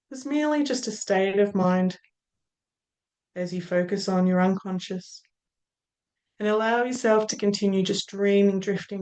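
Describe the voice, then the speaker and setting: Slow, soothing Australian woman hypnotist. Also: medium pitch. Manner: slow, soothing, drawn-out phrases that trail off softly. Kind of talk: guided hypnosis induction.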